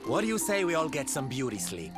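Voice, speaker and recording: An actor voicing a flamboyant, theatrical entertainer in a video game, with a campy, breezy manner. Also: male voice, mid-range voice, big pitch swings, fast, natural voice. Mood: breezy, weary. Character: flamboyant, theatrical male entertainer, fond of beauty. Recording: loud orchestral game music behind. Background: game music.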